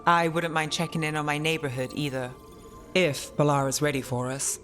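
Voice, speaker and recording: An actor playing a cool, dry-witted investigator in a fantasy video game, wry and matter-of-fact. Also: male voice, fairly high pitch, flat delivery, moderate pace, a bit bright, soft background music, natural voice.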